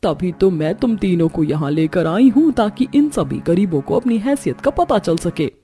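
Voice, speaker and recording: Woman as a haughty rich mother-in-law, mellow voice. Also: on the low side, sing-song, moderate pace, soft background music. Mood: smug, commanding.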